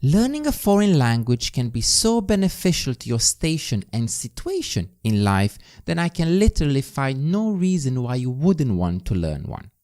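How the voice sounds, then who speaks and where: A man's voice: mid-range voice, very animated, moderate pace, hardly any pauses, darkish tone. A man with a Romanian accent, a native Romanian speaker, hosting a podcast in an emphatic, scripted self-improvement monologue that addresses the listener directly. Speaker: podcast host.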